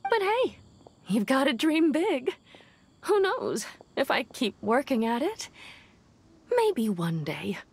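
A woman's voice: high-pitched, big pitch swings, slow pace, pauses often, slight background noise, exaggerated voice. A woman playing a hopeful, wistful dreamer in a video game, musing casually.

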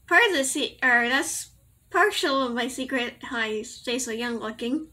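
A young woman in a casual home vlog, rambling and giggling as she corrects herself, her voice a little raspy from allergies. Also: a little high, unhurried, pushed and bright, a little hiss or hum. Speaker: vlogger. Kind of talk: casual product review to camera. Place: small bedroom indoors.

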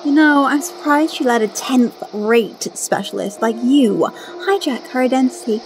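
A woman's voice: high voice, moderate pace, noisy background. A woman playing a powerful, mocking young female ghost in a radio drama, taunting and casually smug. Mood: smug menace.

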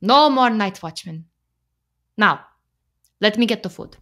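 A woman acting in a radio play as a clever, soothing immigrant wife, speaking measured, formal English. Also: medium pitch, expressive, fairly bright tone. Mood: reassuring.

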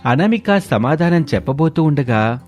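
A man's voice: on the high side, expressive, moderate pace, a little mellow, faint music behind. A man narrating a scripted story as the storyteller.

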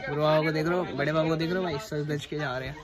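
A man's voice: fairly high pitch, steady tone, quick pace. A young man vlogging casually on a phone, playful and teasing, often repeating his phrases. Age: young adult. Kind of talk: casual vlog chat, teasing relatives. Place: inside a crowded bus at night. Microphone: handheld phone. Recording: noisy moving bus with music and crowd. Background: music, passengers, vehicle noise.

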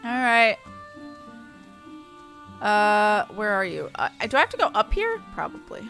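A woman's voice: medium pitch, wildly swooping pitch, a little slow, gravelly, a bit bright. A woman giving casual gameplay commentary as a streamer. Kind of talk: casual gameplay commentary, reading dialogue aloud. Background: game music.

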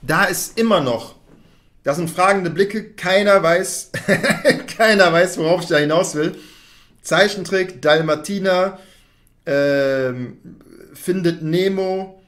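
A man performing a live battle rap round, rhythmic and punchy as he builds toward each payoff. His voice sits fairly high. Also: takes it slowly, a bit bright. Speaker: battle rapper.

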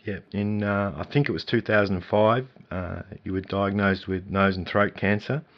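A man's voice: lowish voice, fairly even intonation, moderate pace. A man hosting a radio interview, asking casual, hesitant questions with many fillers. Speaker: radio host. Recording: dull lo-fi radio audio.

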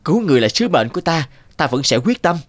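A man's voice: fairly high pitch, very animated, quick pace, no echo, studio quality. A man voicing a brave, kind-hearted young prince in a fairy-tale cartoon, earnest and polite. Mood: resolute. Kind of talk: animated fairy-tale dubbing.

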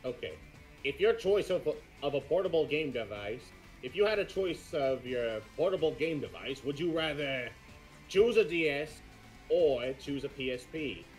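A man voicing a blunt, easygoing crocodile detective, chatting casually and haltingly in character. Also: on the high side, expressive, close to an everyday voice. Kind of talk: casual gaming chat in character. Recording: music behind the voice.

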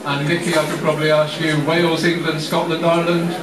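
A man's voice: on the high side, level tone, fast talker. Man hosting a Q&A in a busy bar. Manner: joking, chatty. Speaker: interviewer. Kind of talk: live Q&A hosting. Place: bar with a crowd, indoors. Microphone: handheld mic, far camera. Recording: roomy bar, distant camera, loud crowd.

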